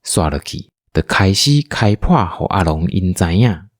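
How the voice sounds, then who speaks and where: Animated, husky Taiwanese male storyteller. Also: low-pitched, measured pace. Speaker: narrator. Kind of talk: scripted storytelling narration for audio drama.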